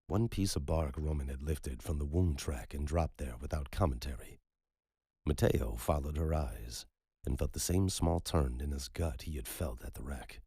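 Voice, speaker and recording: A man reading scripted dramatic fiction as an audiobook narrator, in a husky voice. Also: rumbling low, moderate pace, warm tone, no echo, studio quality.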